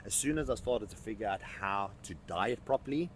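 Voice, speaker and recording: A man's voice: fairly low pitch, brisk, slight background noise. A man in his thirties, a fitness coach, answering interview questions emphatically and often repeating words as he thinks. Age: 30s.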